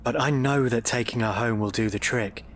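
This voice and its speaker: An actor playing a gentle, anxious husband in a radio drama, soothing and pleading with some hesitation. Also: male voice, mid-range voice, normal speed, slight background noise, lightly acted. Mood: anxious, hopeful. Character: gentle, anxious husband coaxing his confused wife.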